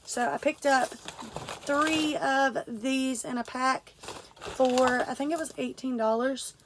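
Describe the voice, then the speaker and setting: A woman's voice: sits high, slow pace, background noise. A young woman, congested and stuffy, casually talking through an unboxing with lots of fillers and restarts. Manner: rambling, many fillers and restarts. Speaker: vlogger.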